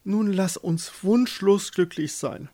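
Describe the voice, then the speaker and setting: A man's voice: high voice, slow, mellow, no echo, voice pushed up. A man voicing a timid, humble fisherman husband in a fairy tale, hesitant and pleading. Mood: humbly eager.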